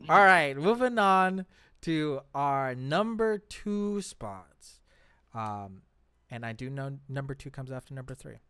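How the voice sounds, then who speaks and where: A male host with a clear, smooth voice of medium pitch chats casually. He is playful and teasing, and the sound is dry and close. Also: big pitch swings, slow pace, pushed and bright, dry recording. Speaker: host of a gaming show. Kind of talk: casual chat.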